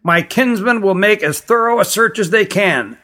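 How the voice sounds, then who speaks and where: A man's voice: high-pitched, animated, bright, forceful tone, voice pushed up. Man voicing a stern, reedy old fantasy queen. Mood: tense. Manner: formal, deliberate phrasing. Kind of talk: fantasy audiobook character voice.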